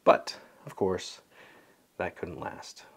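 A man talks casually to camera as a vlogger, rambling and a little self-deprecating. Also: takes it slowly. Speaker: vlogger, landscape photographer. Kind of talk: casual vlog talk to camera. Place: small home studio room.

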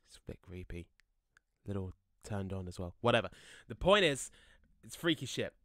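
A man's voice: low voice, big pitch swings, moderate pace, sharp tone, no room sound, clean studio sound, exaggerated voice. A man acting in an audio play as a jokey, laid-back ghost with a casual, slangy, rambling way of talking. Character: chatty, jokey young male ghost, laid-back slacker.

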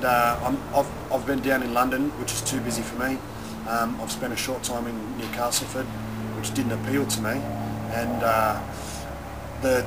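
Young man, Australian accent, laid-back athlete interview, halting conversational delivery, outdoors on camera mic, loud background noise. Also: medium pitch. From Newcastle, Australia. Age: young adult. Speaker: rugby league player. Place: open-air stadium stand by a running track. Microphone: camera mic a short distance away.

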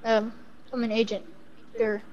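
A woman's voice: a little high, flat delivery, unhurried, darkish tone, dull audio, a little hiss or hum. A woman improvising role-play in a game voice chat, speaking a little hesitantly. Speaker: player role-playing a secret agent.

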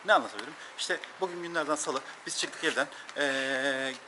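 A man answering in quick casual chat while walking a night street beside the camera. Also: medium pitch, pushed and bright, rough recording. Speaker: vlogger's companion. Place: city street at night, outdoors. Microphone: camera held by companion. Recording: street noise, off-mic.

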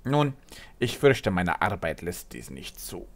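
Man playing a deep, gravelly, reserved alchemist. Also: sing-song, takes it slowly, full character voice. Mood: reluctant, evasive. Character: reserved, evasive male alchemist. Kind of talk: fan-made German fantasy audio drama.

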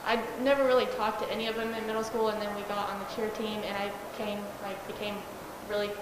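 Teen girl kinda unsure, monotone, echoey noisy room. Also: medium pitch, fast. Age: teens. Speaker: cheerleader guest. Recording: echoey hall with background noise.

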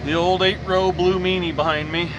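A man's voice: sits high, flat delivery, moderate pace. A young man vlogging as he plants in a tractor cab, talking in a laid-back, rambling way with a scratchy voice from dust, recorded on a phone and then an external mic. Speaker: farmer vlogger. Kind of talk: casual vlog commentary. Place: tractor cab and open field. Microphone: cell phone, then external mic. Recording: tractor engine and wind noise.

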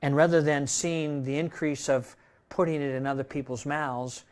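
Older man preaching, slightly high voice, casual tag questions, loud and soft swings. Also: level tone, normal speed. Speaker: pastor. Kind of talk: sermon. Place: church room with projector screen.

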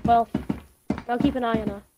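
Girl doing a mocking bossy kidnapper lady in a zombie roleplay, highish voice, noisy home recording. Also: steady tone, brisk, lo-fi sound, natural voice. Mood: bossy, brisk. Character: scheming, mocking woman kidnapper in a zombie story. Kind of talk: amateur improvised game roleplay.

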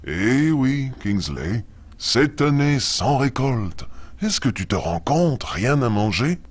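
A man playing a kindly, wise father lion in a children's puppet show, speaking in a measured, expressive, paternal way. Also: medium pitch. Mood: patient.

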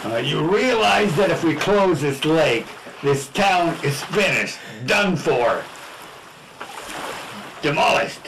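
A man playing a stubborn small-town mayor in a spoof radio drama, pompous and emphatic, with a creaky voice. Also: on the high side, animated, slow, a bit bright, slight background noise, full character voice, poor audio. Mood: dismissive. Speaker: amateur radio drama actor. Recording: music bed under the voice.

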